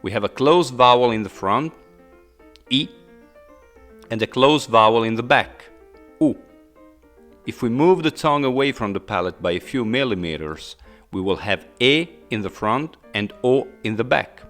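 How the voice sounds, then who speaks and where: A man with an Italian accent, a native Italian speaker, giving a scripted linguistics lesson with clear explanations and playful asides. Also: medium pitch, lively intonation, normal speed, pauses often, a bit bright, soft background music. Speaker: linguistics teacher. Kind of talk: scripted educational narration.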